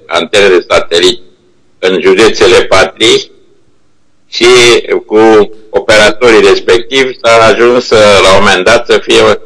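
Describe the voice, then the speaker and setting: An older man reminiscing informally over a video call, speaking haltingly as he searches for words. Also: lowish voice, steady tone, fairly bright tone, rough recording. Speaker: internet company co-founder, remote guest speaker. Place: remote video call played to a meeting room. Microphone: video call shown on projection screen.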